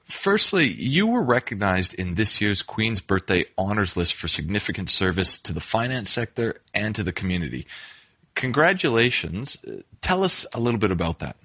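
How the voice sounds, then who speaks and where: A man hosting a business interview, asking polite, measured questions. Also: fairly low pitch, big pitch swings, bright, forceful tone, breathy edge, no echo. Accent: non-Australian, immigrant.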